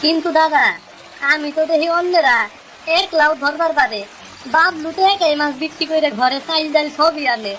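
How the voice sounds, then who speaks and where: A woman's voice: high voice, moderate pace, fairly bright tone, slight background noise, poor audio. A woman voicing a frustrated young fisherman in a cartoon story, plaintive and complaining, with a rural Bangladeshi Bengali accent. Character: frustrated poor young fisherman, pleading and torn.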